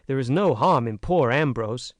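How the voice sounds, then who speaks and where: A man's voice: mid-range voice, expressive, normal speed, dry recording, lightly acted. A man reading an audiobook, voicing an earnest, frank young American woman who pleads with emphasis. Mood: earnest pleading.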